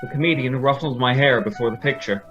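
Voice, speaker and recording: An actor playing a mild, casual member of a costumed team in a fan-made drama. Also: male voice, mid-range voice, fast, lo-fi sound, over music, natural voice. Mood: mild, grumbling.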